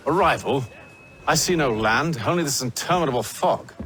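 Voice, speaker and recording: Skeptical ship passenger, played by a man, mid pitch, grumbling, game cinematic, faint noise behind. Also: expressive, normal speed, fairly bright tone, natural voice. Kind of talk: video game cutscene acting.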